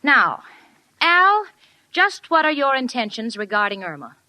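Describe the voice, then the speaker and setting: A woman's voice: sits high, sing-song, a little slow, pushed and bright, no room sound, heavily acted. An actress playing a sensible, exasperated young woman who coaxes and worries with wry patience, in a 1940s radio sitcom. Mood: brisk, concerned. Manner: wry, coaxing, concerned. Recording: slightly noisy vintage 1940s radio recording.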